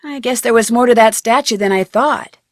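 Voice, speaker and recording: A young American woman playing a curious, chirpy amateur detective in an adventure game, thinking aloud with clear enunciation. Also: fairly high pitch, brisk, no echo, studio quality, lightly acted. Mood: inquisitive. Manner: clearly enunciated, inquisitive musing to herself.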